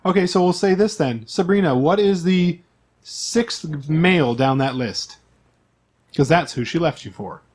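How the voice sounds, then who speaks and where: A man's voice: a little high, measured pace, a little mellow. A man acting as game master in a casual tabletop session, prompting players with questions and repeating their answers back. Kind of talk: casual tabletop role-play session chat.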